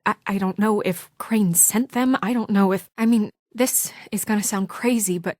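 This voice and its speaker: An actress plays a young woman in charge, earnest and guarded, in a naturalistic video game drama. Her voice sits at a middle pitch and carries strain and determination, hesitant in confidences and firm when challenged. The recording is close and dry, with no room around it. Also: moderate pace, darkish tone, no room sound, natural voice. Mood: hesitant, uncertain.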